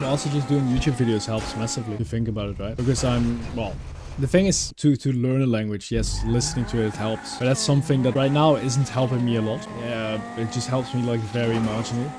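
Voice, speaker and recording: A man's voice: medium pitch, fairly even intonation, brisk. A young man with a Dutch-German accent, talking casually and rambling with many fillers while he plays a driving game. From Netherlands. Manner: rambling, chatty, many fillers and self-corrections. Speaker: gaming YouTuber. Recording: game car engine noise behind. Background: racing car engine and game sounds.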